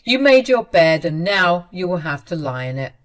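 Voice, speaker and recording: A woman's voice: lowish voice, sing-song, moderate pace. A woman doing a furious grown son. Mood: firm. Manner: firm, clipped. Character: angry grown son, firm and cold.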